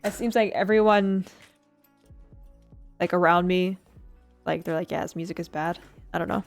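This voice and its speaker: A woman's voice: on the low side, fairly even intonation, moderate pace, vocal fry, soft background music. A woman streamer chatting casually while building a model kit, rambling with lots of fillers and little laughs. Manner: rambling, filler-heavy, giggly, self-deprecating.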